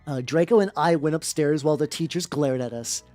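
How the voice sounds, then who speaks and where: A livestreamer with a mid-pitched voice talks in a rambling, sardonic and theatrical manner, drifting between casual chat and comic read-aloud. The microphone is close and very dry, with faint music playing behind. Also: brisk, darkish tone, no echo. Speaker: livestreamer, VTuber. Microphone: close dry streaming mic. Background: faint background music.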